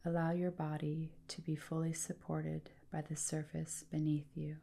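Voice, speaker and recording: A woman with a low, mellow voice leads a guided rest practice. She speaks slowly and deliberately, leaving room between phrases. Her delivery is calm and soothing, like scripted meditation narration. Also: gravelly, breathy edge. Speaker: yoga nidra guide.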